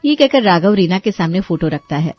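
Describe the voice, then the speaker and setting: Low-voiced woman narrating a story. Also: lively intonation, fairly quick, dry recording, dull audio.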